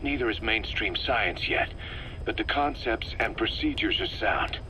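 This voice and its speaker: A male actor voicing a stern, pragmatic military subcommander in a video game, speaking in a brisk, matter-of-fact, clipped way on a taped briefing. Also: fairly low pitch, bright, forceful tone, poor audio. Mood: clinical. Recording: cassette recording with background noise.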